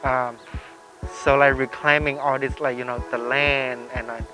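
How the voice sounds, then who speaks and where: A man talking casually in an interview, haltingly, often checking in with the listener. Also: mid-range voice, level tone, music in the background, rough recording. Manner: halting, peppers talk with "like" and "right?".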